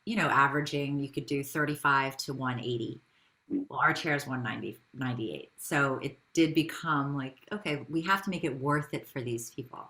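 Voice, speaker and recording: A woman's voice: extremely low pitch, bright, forceful tone. A woman speaking as a business founder in an interview, telling her story in a conversational way with rhetorical questions.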